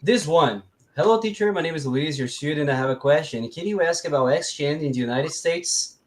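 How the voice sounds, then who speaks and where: A man's voice: a little high, normal speed. A man with a Brazilian accent hosts a live podcast in a casual, conversational style. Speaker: podcast host.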